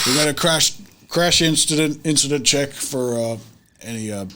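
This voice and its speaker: A man's voice: fairly high pitch, normal speed, sharp tone. A man playing a tabletop roleplaying game, chatting casually and describing what his character does.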